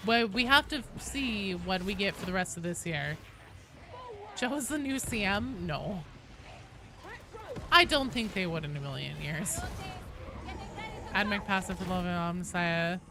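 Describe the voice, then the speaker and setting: A woman game streamer chatting casually with viewers, thinking aloud in a halting way. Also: fairly low pitch, expressive, bright, forceful tone, slight background noise. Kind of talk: casual chat, replying to viewers.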